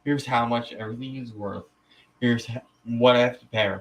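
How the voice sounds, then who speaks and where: A man in his thirties, a guest in a casual live chat, talking in an animated, stop-start way with the odd casual swear. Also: mid-range voice, moderate pace, far from the mic, poor audio. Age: 30s.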